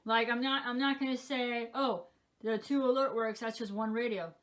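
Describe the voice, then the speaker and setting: A woman's voice: fairly high pitch, steady tone, normal speed, fairly bright tone. A young woman chatting casually as she shows off and counts her collection of weather radios. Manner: chatty, counting items aloud. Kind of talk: casual show-and-tell vlog.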